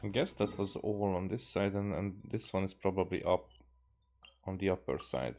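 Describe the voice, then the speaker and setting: A man from Hungary with a Hungarian accent, musing aloud haltingly as he gives casual gameplay commentary. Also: sits low, darkish tone, slight background noise. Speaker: game streamer.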